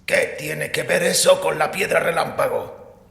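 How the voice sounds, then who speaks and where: A male actor plays a devout, stubborn priest in an audio drama. His voice is extremely creaky and gravelly, set somewhat high for a man, with solemn, earnest conviction. A long hall reverb surrounds him. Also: unhurried, a bit bright, heavily acted. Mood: defiant, earnest. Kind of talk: Spanish audio drama acting.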